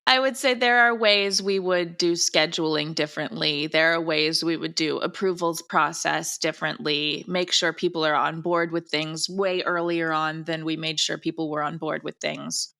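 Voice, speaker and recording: Bright-voiced woman with a creaky edge. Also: sits low, fairly even intonation, normal speed, hardly any pauses. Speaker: audio drama creator and director being interviewed. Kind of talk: casual interview chat.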